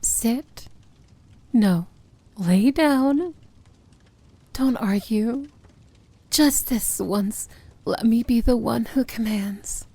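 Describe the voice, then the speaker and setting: A woman playing a seductive, tender yet commanding evil princess in an intimate fantasy ASMR roleplay, speaking softly and often whispering. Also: fairly high pitch, dry recording, heavily acted. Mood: teasing, commanding. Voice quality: soft, breathy, often whispered. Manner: slow, intimate, gently commanding.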